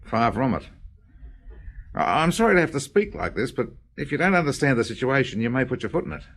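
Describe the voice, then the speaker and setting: A man's voice: mid-range voice, big pitch swings, a little fast, a bit bright, slight background noise. An actor playing a respectable businessman's son in a radio drama, stiff, uneasy and defensive.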